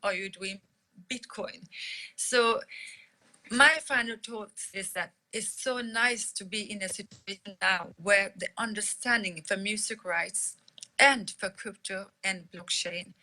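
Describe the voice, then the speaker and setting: Bright-voiced woman, Liberian-British-Swedish accent. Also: medium pitch, slow pace, low-quality recording. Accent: mix of Liberian English, British English and Swedish English. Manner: conversational, many fillers and restarts. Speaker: company CEO answering audience questions. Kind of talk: live-stream Q&A.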